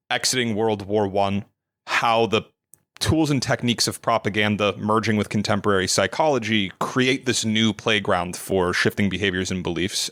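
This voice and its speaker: A man speaking as a podcast guest, earnest and measured, often repeating words as he goes. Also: fairly low pitch, a bit bright, dry recording. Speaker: podcast guest, creative director. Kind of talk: casual podcast interview.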